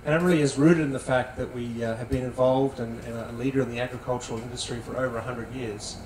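A man, a company executive, speaking smoothly and in a practiced way on a panel in a large tent. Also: mid-range voice, steady tone, fast, darkish tone. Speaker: senior vice president on a panel. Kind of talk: panel discussion. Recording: roomy sound from some distance, faint noise.

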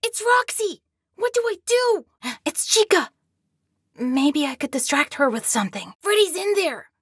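A woman's voice: extremely high pitch, big pitch swings, measured pace, fairly bright tone, dry recording, studio quality, heavily acted. A woman voicing a young boy in a video game, scared but plucky and resourceful, speaking in an earnest, anxious way. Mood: alarmed, urgent.

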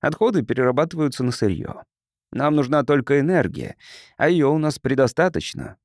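A man's voice: medium pitch, lively intonation, fairly quick, no room sound, clean studio sound, natural voice. A man voicing a proud, guarded planetoid councillor in an audiobook, formal and explanatory. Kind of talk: audiobook character reading.

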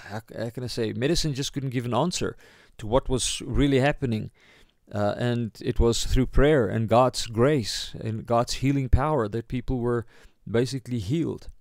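A man with a South African accent giving an informal sermon as a pastor, halting as he searches for words. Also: mid-range voice, a little mellow, no echo, studio quality. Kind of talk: informal sermon, Bible teaching.

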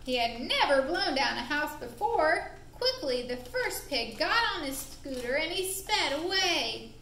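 A woman narrating a puppet show for young children in an expressive, deliberate, playful way, recorded in an indoor room. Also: sits high. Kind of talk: animated storytelling for young children. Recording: roomy echo with faint background noise.

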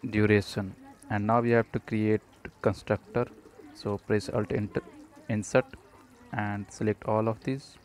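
Low-pitched man, flat halting tutorial narration. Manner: halting, speaks in short fragments. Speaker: programming tutorial presenter. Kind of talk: step-by-step screencast tutorial narration.

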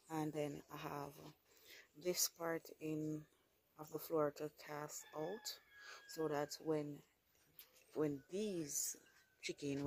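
A woman narrates a casual vlog in an informal, unhurried way over chirping chicks. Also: sits low. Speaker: vlogger. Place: chicken coop at home. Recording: noisy handheld phone audio.

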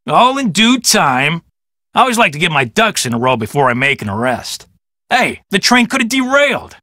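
An actor playing a cocky, self-important police detective in a video game, boastful, glib and condescending. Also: male voice, high voice, very animated, normal speed, sharp tone, no echo, studio quality, full character voice. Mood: combative, dismissive. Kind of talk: video game character dialogue.

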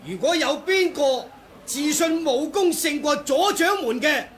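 A man's voice: very high-pitched, expressive, unhurried, a bit bright, exaggerated voice. An actor with a Hong Kong Cantonese accent playing a scornful male martial artist in an old radio drama, sneering and declamatory. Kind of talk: 1980s radio drama acting.

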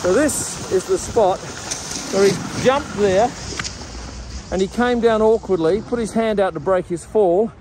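A man's voice: sits high, a little slow, background noise. An Australian man in his sixties giving chatty travel vlog narration. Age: 60s. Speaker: travel vlogger.

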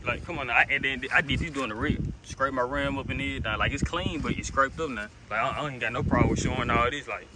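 A man's voice: mid-range voice, fast, few pauses. A nineteen-year-old man talks casually to the camera in a rambling vlog, repeating himself and restarting his sentences as he walks around outside. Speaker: car vlogger. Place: outdoors in a driveway beside a car. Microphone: phone held at arm's length. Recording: outdoor phone audio with background noise.

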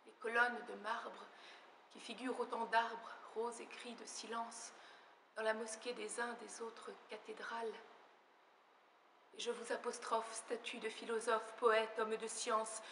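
A woman's voice: fairly high pitch, little pitch movement, unhurried, creaky, fairly bright tone, slight background noise. A French woman in her thirties performing dramatic spoken-word poetry, her delivery swelling and sinking, in an empty opera house auditorium. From France. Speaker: slam poet. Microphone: far camera. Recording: roomy hall echo.

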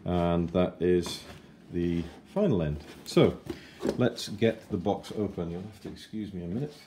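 A man in his forties giving a chatty, unhurried model kit review at his kitchen table. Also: low-pitched, animated, background noise. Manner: chatty and unhurried, with fillers and self-corrections. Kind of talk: casual unboxing review.

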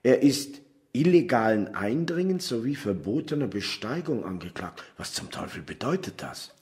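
An actor playing a weary, gruff middle-aged male detective who narrates his own story in a radio crime drama. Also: mid-range voice, sing-song, moderate pace, darkish tone, no room sound, studio quality. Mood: agitated, disbelieving.

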